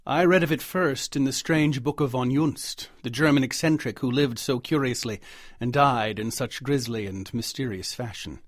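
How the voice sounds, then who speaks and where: A man narrates a scripted horror tale in a mid-pitched voice. He is formal, measured and grave, and the recording is close and very dry, with no room echo. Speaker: audiobook narrator.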